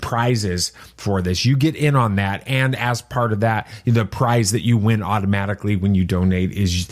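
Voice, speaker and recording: A man's voice: on the low side, moderate pace. A middle-aged man hosting a pop culture podcast in a chatty, promotional way. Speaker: podcast host.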